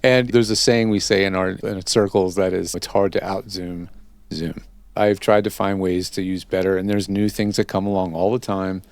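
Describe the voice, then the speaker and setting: A man's voice: deep voice, little pitch movement, moderate pace, no room sound. A man hosting a casual interview, talking in a conversational, explanatory way. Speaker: host interviewer. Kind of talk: casual interview chat about recording.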